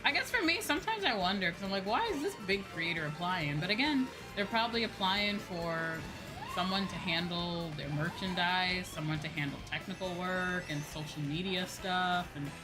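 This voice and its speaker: A woman with a fairly low voice chats casually on a gaming livestream. She muses aloud in an informal way, often repeating her phrases. Music plays clearly behind her. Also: animated, moderate pace, rough recording. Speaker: streamer. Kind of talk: casual chat while livestreaming a video game.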